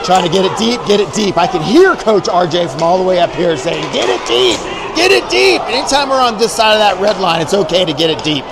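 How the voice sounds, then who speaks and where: Amateur rink announcer, male, folksy showman, bursts into shouts; noisy arena recording. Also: a little high. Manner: excitable, shouts on big plays, folksy showman patter. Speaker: youth hockey play-by-play announcer. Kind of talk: amateur play-by-play commentary. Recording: loud arena crowd and noise. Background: loud crowd and rink noise.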